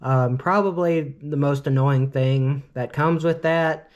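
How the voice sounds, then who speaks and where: A man's voice: a little high, slow, highs cut off. A man in his late twenties from Cleveland, telling a casual storytime vlog in a rambling, earnest way, with constant filler words. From Cleveland, Ohio, US. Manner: earnest, opinionated. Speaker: vlogger.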